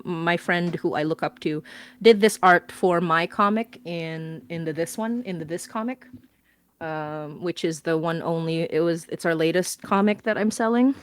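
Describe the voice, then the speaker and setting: A woman's voice: fairly low pitch, no room sound. A woman chatting casually on a livestream as a guest artist, laughing often and trailing off with ums.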